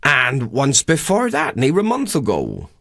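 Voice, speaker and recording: A middle-aged West Country rustic, naive and puffed up with himself. His storybook dialect swings up high and all over, full of wonder and wheedling. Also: huge pitch swings, measured pace, fairly bright tone, no echo, clean studio sound, full character voice. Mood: puzzled. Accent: English West Country. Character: middle-aged rustic tippling haggler, naive and self-important.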